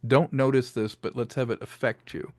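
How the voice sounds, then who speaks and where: A man's voice: medium pitch, steady tone, normal speed. Man telling stories in an interview. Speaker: interviewed filmmaker and audio drama creator. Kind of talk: casual interview talk about craft.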